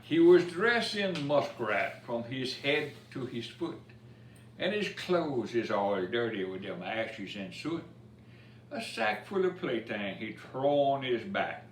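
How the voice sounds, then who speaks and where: A man reads a picture book in Cajun dialect verse for story time, taking his time and telling it expressively. His voice sits at a middling pitch. The recording is echoey and picked up from some distance, with faint noise. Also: sing-song, takes it slowly.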